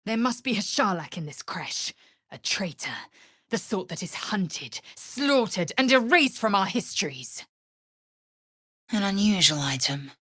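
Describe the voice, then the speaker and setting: A woman voicing a fierce, haughty young alien warrior in a video game, with a husky, breathy rasp and a clipped, imperious delivery. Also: mid-range voice, sing-song, measured pace, bright, forceful tone, dry recording, clean studio sound, exaggerated voice. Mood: scornful, fierce. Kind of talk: video game character voice lines.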